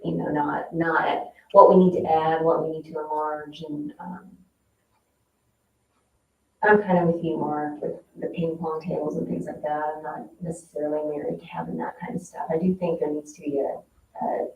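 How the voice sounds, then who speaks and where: A woman's voice: low-pitched, little pitch movement, normal speed, mellow, muffled recording. A woman talking informally as a participant in a committee meeting. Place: meeting room, mic at a distance. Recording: echoey room, distant mic, faint noise.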